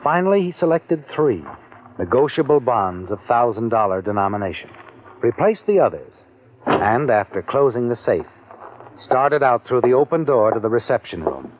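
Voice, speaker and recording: A man's voice: medium pitch, sing-song, normal speed, slight background noise. A man narrating a 1940s radio mystery in an ominous tone, speaking to the listener as "you". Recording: tinny old radio broadcast with music.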